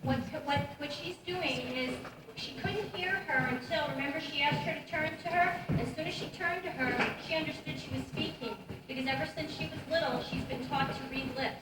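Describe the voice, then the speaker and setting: A woman's voice: high-pitched, brisk. A woman puppeteer patiently answering children's questions and explaining things to a school audience. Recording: echoey room from far off, noisy.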